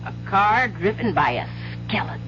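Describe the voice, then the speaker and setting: An actress playing a haunted widow in a 1970s radio drama, speaking with dramatic, breathless urgency. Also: female voice, mid-range voice, very animated, moderate pace, fairly bright tone. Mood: haunted, insistent. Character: haunted, insistent widow telling of a ghost. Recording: dull vintage radio recording with music.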